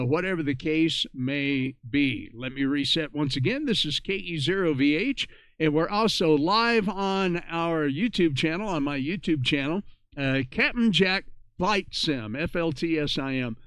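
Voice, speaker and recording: Guy running a ham radio net, clean smooth voice, dry close sound, reading reminders kinda conversationally. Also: fairly high pitch, normal speed, a bit bright, no echo. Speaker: amateur radio net host. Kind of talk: reading a radio net preamble, informal announcing.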